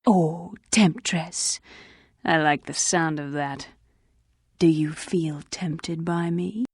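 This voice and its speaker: A woman's voice: low-pitched, steady tone, takes it slowly, breathy edge, dry recording, full character voice. A woman voicing a theatrical fantasy schemer in a video game, with a dramatic delivery. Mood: seductive. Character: theatrical, coquettish female fantasy schemer and thief.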